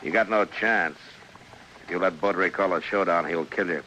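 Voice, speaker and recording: An actor playing a stern, steady western town marshal in an old-time radio drama, terse and matter-of-fact. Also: male voice, deep voice, moderate pace. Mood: urgent, concerned. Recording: noisy old radio recording.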